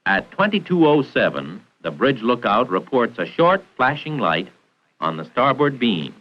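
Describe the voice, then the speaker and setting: Male American narrator, 1940s training film: formal, precise, instructional, animated intonation, dull vintage soundtrack. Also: medium pitch, unhurried.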